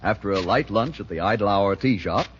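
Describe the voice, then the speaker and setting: A man's voice: lowish voice, lively intonation, brisk. An American man announcing on 1940s radio, reading scripted ads with polished, emphatic salesmanship. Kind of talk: 1940s radio ad read and narration. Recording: dull 1940s radio recording.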